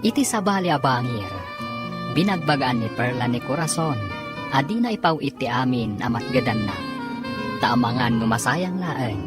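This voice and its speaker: A man's voice: medium pitch, lively intonation, moderate pace. A man narrating a radio drama in a declamatory announcer's style, reading scripted recaps and credits. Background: instrumental music.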